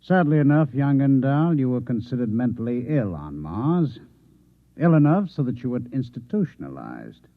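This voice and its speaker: An actor playing a cold, controlling Martian agent posing as a reporter, speaking formally and with quiet menace in an old-time radio drama. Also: male voice, medium pitch, very animated, normal speed, no room sound, natural voice. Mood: cold, menacing. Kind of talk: golden-age radio drama acting. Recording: vintage radio broadcast, narrow phone-like band.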